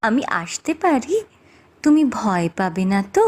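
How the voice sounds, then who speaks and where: A woman voicing a kindly, reassuring magical being in a cartoon fairy tale, speaking in a gentle, coaxing way. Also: on the high side, very animated, unhurried. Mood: reassuring, playful.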